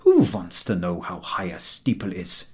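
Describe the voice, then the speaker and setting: A man's voice: sits low, wildly swooping pitch, a little slow, darkish tone, slightly rough, voice pushed down, heavily acted. A man voicing a sardonic, world-weary carriage horse with a dry, disdainful manner in a storybook reading.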